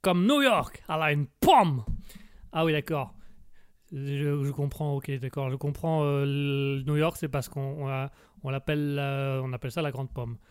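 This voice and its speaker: A man's voice: medium pitch, level tone, moderate pace, a little mellow, clean tone, dry recording, studio quality. A man hosting a live call-in radio show who speaks hesitantly and gets flustered, with frequent filler sounds. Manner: hesitant, flustered, lots of "euh".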